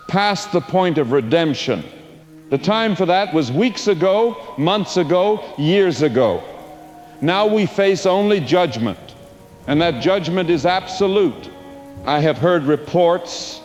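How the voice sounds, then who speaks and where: An actor playing a solemn, portentous preacher who has survived a plague, delivering a dramatic radio sermon in an audio drama. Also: male voice, on the high side. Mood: grave, condemning, then dire, fervent. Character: apocalyptic preacher, a grave male survivor of a plague. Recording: long cavernous reverb with music underneath.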